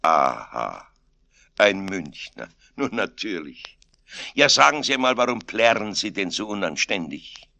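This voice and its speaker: A man voicing an astonished but composed God, measured and mildly reproachful, in a comic story. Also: low voice, very animated, creaky, sharp tone, no echo, exaggerated voice. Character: dear God, male, astonished but composed heavenly authority.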